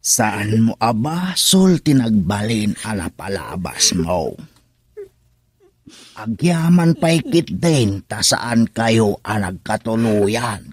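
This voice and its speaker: A man's voice: fairly low pitch, expressive, slow pace, breathy edge, no echo, exaggerated voice. An actor playing an older father who gives sympathetic, consoling counsel in a radio drama. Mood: concerned, consoling.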